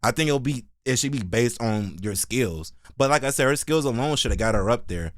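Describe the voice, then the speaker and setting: A man's voice: mid-range voice, animated, brisk, no room sound, clean studio sound. An American man giving casual reaction commentary in a slangy, opinionated, rambling way. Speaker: reaction video host.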